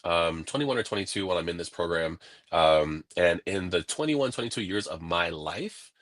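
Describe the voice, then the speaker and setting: A man from California, a guest on a video-call interview, telling stories in a casual, animated way and acting out what people said. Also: low-pitched, moderate pace. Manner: animated, laughing, acts out quoted voices.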